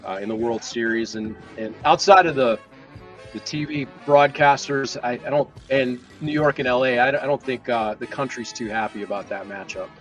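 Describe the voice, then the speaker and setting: A man's voice: medium pitch, normal speed, fairly bright tone, highs cut off, faint music behind. A man co-hosting a sports live stream in a casual, conversational way.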